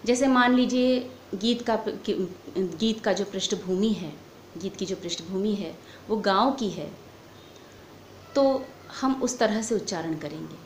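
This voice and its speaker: A woman giving a formal, measured lecture as a singing teacher. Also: medium pitch. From India. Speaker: music lecturer, vocal teacher. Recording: echoey room with faint background noise.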